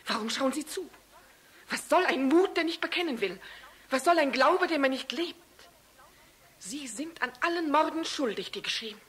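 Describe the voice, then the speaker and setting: A stern widow in a radio drama, grieving and unforgiving. Her fairly high voice delivers each accusation with solemn, declamatory weight. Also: animated, moderate pace, fairly bright tone. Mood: reproachful. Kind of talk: dramatic radio play acting.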